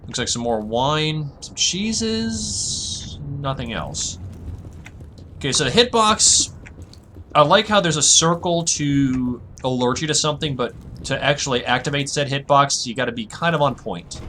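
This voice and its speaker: A man with a husky, tired voice, musing aloud in relaxed let's-play commentary as a gaming channel host. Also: medium pitch, measured pace, slight background noise.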